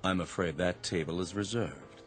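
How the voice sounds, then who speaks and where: An actor playing a deferential, formal restaurant waiter in a radio drama with music underneath. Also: male voice, deep voice, normal speed, natural voice. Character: polite, nervous male restaurant waiter.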